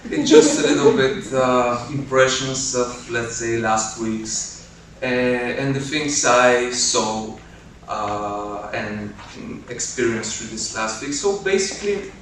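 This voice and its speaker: A man's voice: medium pitch, lively intonation, takes it slowly, a bit bright. A young man from Bulgaria telling a personal story on stage, hesitant and full of fillers. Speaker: student storyteller. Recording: roomy, distant mic, faint noise.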